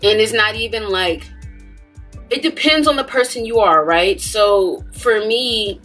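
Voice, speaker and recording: A woman's voice: medium pitch, unhurried, fairly bright tone, faint music behind. Chatty young woman vlogging to camera. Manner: chatty, laughing, talks with her hands. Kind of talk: casual talk to camera. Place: dim indoor room.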